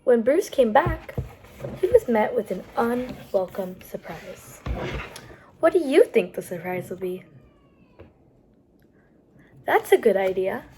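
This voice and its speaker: A woman reading picture books aloud to young children as a storytime host, animated and pausing to explain things to the listeners. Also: fairly high pitch, a little hiss or hum, rough recording. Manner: animated, explains pictures and words as she goes.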